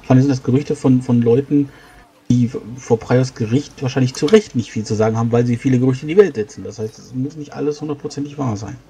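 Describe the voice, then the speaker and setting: A man improvising in-character dialogue in a tabletop role-playing game, explaining things in a halting way. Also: medium pitch, warm tone, breathy edge.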